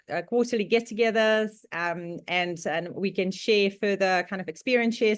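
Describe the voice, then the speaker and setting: A woman's voice: medium pitch, no room sound, clean studio sound. A woman from the Czech Republic with a Czech accent, presenting on a video call in a chatty way, with frequent laughs and many fillers. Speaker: guest presenter. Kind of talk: online club presentation.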